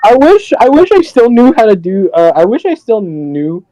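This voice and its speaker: Giggly, lively young-sounding man, crude banter. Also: high voice, moderate pace, no echo, rough recording. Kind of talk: casual joking banter with friends.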